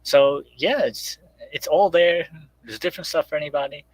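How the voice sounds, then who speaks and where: A man talking casually and haltingly as a guest creator plugging his project on a live stream. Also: fairly high pitch, no echo, poor audio. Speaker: guest comic creator promoting crowdfunded book and radio show.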